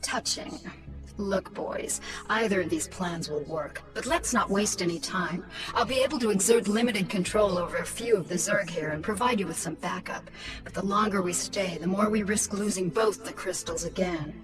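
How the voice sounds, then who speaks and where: Game casting: actress as condescending female commander, dry and sardonic, medium pitch, slightly off-mic, music under her. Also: moderate pace, distant mic, natural voice, rough recording. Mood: cool, impatient. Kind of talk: video game voice acting.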